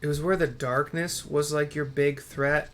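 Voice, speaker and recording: A man's voice: on the high side, fairly even intonation, measured pace, fairly bright tone. Male let's-play streamer, offhand and rambling, talking half to himself, light background noise and typing. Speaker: gaming streamer. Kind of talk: casual game commentary. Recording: faint noise with keyboard typing.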